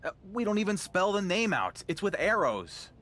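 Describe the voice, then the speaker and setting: Dry, sarcastic champion wrestler. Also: male voice, sits high, sing-song, moderate pace, fairly bright tone. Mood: defensive. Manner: dry, sardonic retorts, defensive under accusation.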